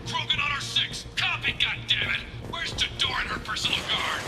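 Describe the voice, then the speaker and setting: An actor playing an embattled, aggressive mercenary in a video game, calling out urgently in combat. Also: male voice, extremely high pitch, very animated, normal speed, loud music behind the voice. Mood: alarmed, urgent. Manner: urgent shouted radio-style calls.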